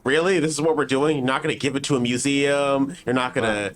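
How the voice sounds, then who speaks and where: A man's voice: mid-range voice, steady tone, fast talker. A man co-hosting a podcast, ranting casually for laughs in a sarcastic, profane, incredulous way. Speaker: podcast co-host.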